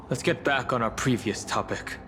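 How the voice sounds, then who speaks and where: A man's voice: mid-range voice, background noise, lightly acted. A man voices a stoic young spearman hero from a fantasy game. His mid-range voice is serious and wary, with terse, reserved delivery. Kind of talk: English game dub voice acting.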